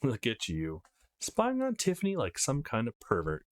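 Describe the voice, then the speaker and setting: An easygoing young visual novel hero, read by a man, a little exasperated and dry. His voice sits at a middle pitch and moves very freely. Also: wildly swooping pitch, moderate pace.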